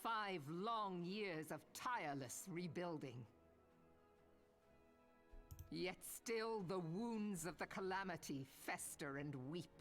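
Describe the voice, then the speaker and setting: A woman's voice: lowish voice, expressive, slow pace, a bit bright. A woman playing a commanding pirate-nation admiral in a fantasy game, delivering solemn, rousing oratory in a theatrical style. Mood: grave, resolute. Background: game music.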